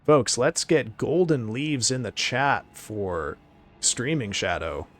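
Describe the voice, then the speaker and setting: A man chatting casually on a live stream, excitable and thanking viewers, his voice getting hoarse. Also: medium pitch, slow, a little hiss or hum. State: losing his voice.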